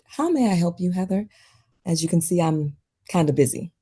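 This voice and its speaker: A woman's voice: on the low side, lively intonation, normal speed, dark tone, husky. A woman playing a stressed, wary, sardonic doctor in a naturalistic table read of a drama script. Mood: curt, irritated.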